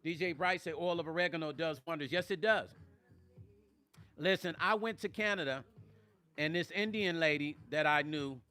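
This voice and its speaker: A man in his fifties hosting a casual livestream, reading viewer comments and talking straight to his audience in an animated, preachy way. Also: fairly high pitch, moderate pace, faint music behind. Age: 50s. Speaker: vlog host reading live chat.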